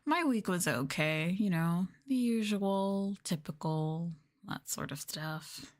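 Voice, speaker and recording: Slow-talking, condescending woman streamer. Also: fairly low pitch, lively intonation. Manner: teasing, mocking, condescending. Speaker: VTuber streamer, host. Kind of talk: sadistic ASMR roleplay, livestream chat.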